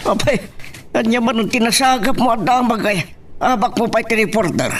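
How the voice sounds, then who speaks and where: A man's voice: extremely high pitch, normal speed, recorded from a distance. A man playing a skeptical, grumbling husband who disapproves of gossip in an Ilocano audio drama. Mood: incredulous.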